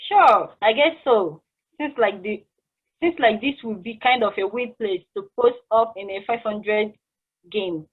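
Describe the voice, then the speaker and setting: A woman's voice: mid-range voice, unhurried, slightly rough. Woman acting an awkward cashier, phone-like sound. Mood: curious, awkward. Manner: hesitant, informal, conversational. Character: friendly, awkward, curious female drive-through cashier. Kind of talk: casual table read of a stage play. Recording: muffled, phone-like band-limited call audio.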